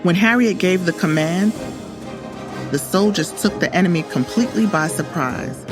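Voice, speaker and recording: A woman's voice: lowish voice, moderate pace. Woman narrating a story over music. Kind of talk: scripted storytelling narration for children. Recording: music under the voice. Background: background music.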